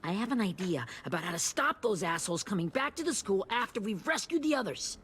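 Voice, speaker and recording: A performer playing an excitable, reckless young teenage boy in a video game, with an eager, impulsive manner. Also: medium pitch, quick pace, slightly rough. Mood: excited, defiant.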